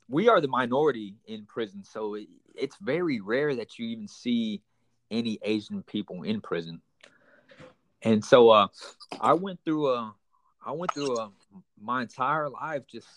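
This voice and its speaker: A man's voice: mid-range voice, lively intonation, fairly bright tone, dry recording, low-quality recording. Earnest man sharing his story, dry sound. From United States, raised in Houston, Texas. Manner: earnest, halting with many fillers and restarts. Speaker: guest. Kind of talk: personal testimony in an interview.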